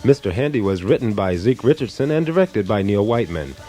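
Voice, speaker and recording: A man's voice: fairly low pitch, big pitch swings, brisk, mellow. A man delivering scripted end credits as a radio program announcer. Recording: faint music behind the voice.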